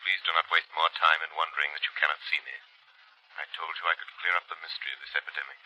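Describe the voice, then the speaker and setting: An actor playing an invisible, commanding crime-fighter in a 1930s radio drama, haunting and insistent with deliberate menace. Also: male voice, a bass voice, level tone, pushed and bright, full character voice, poor audio. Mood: calm authority. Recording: thin vintage 1930s radio recording.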